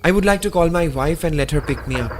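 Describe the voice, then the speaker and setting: A man's voice: fairly high pitch, fast, noisy background, natural voice. A man acting a stranded, anxious but polite husband who narrates an eerie night in a radio drama, in a theatrical, suspenseful way. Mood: polite, uneasy. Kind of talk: dramatic first-person radio play narration. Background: prominent music.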